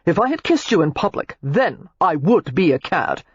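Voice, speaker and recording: A woman reading an audiobook, voicing a stiff, proper young gentleman who sounds clipped, restrained and defensive. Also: lowish voice, big pitch swings, moderate pace, slightly rough, no echo, dull audio. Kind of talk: audiobook character voice.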